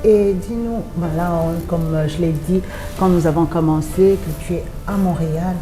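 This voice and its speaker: A woman interviewer asking halting questions, restarting and filling pauses as she goes. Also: on the low side, fairly even intonation, over music.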